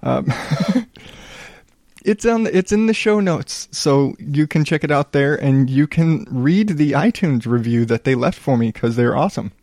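Calm, rambling podcast host man. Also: on the high side, measured pace, dry recording, clean studio sound. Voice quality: calm smooth voice. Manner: rambling, self-deprecating, reads reviews aloud. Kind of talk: casual solo podcast monologue.

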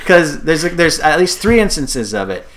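A man's voice: a little high, big pitch swings, moderate pace, a bit bright. A man hosting a casual chat, rambling and restarting his sentences with frequent chuckles. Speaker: podcast host.

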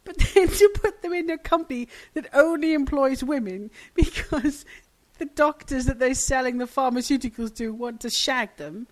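A woman's voice: high-pitched, normal speed. A British woman co-hosting a casual comedy podcast, trading dry, sarcastic, self-mocking jokes. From Britain. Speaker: podcast co-host.

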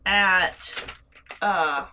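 A woman playing in a tabletop game, hesitant and giggly, with many pauses and fillers. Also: on the low side, sharp tone, slight background noise. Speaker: player. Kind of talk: casual tabletop play.

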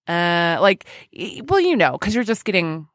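A woman's voice: lowish voice, very animated, moderate pace, no room sound, studio quality. A woman hosting a podcast in a casual, chatty, self-deprecating and giggly way. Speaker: podcast host.

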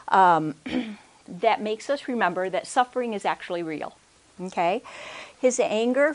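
A soft-spoken woman giving a conference workshop talk into a microphone, hesitant, with many restarts and check-ins with the audience. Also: mid-range voice. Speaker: workshop speaker. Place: conference room. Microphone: handheld or stand microphone.

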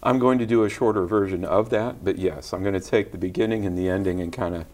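A middle-aged man talking in a casual interview as a guest songwriter, gentle and hesitant, often restarting his sentences. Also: sits low, fast talker. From Virginia, USA. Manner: soft-spoken, hesitant with many restarts.

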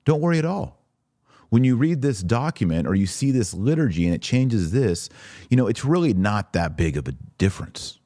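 A man's voice: medium pitch, big pitch swings, normal speed, dark tone, dry recording, clean studio sound. A man hosting a podcast of religious commentary, speaking emphatically with rhetorical questions and acted-out imagined objections. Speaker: podcast host. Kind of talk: religious commentary to a live online audience.

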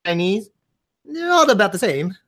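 Animated, joking podcast host, higher voice. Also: male voice, normal speed. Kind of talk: casual comedic chat.

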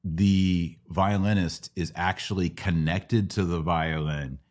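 Low-voiced man teaching on a podcast. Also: steady tone, slow. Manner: didactic, explains step by step with questions and analogies. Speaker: podcast host answering Bible questions. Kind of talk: casual live Q&A teaching.

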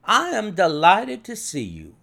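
A man voicing a brisk, hearty, sceptical police inspector in an audiobook, emphatic and plain-spoken. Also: high-pitched, big pitch swings, vocal fry, fairly bright tone, pitched above the performer's own voice, full character voice. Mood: hearty, matter-of-fact.

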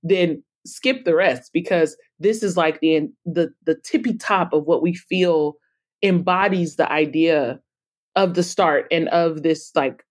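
Lowish-voiced woman, emphatic podcast guest. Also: measured pace. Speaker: podcast guest, author and publisher. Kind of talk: conversational interview.

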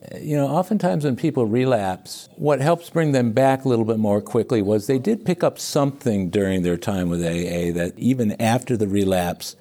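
A man hosting an interview, asking calm, probing questions. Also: mid-range voice, normal speed, hardly any pauses. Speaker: interview host.

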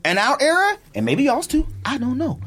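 A man's voice: very high voice, big pitch swings, moderate pace, a bit bright. A middle-aged man hosting a casual podcast debate, talking in an emphatic, opinionated, slangy way. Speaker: podcast host.

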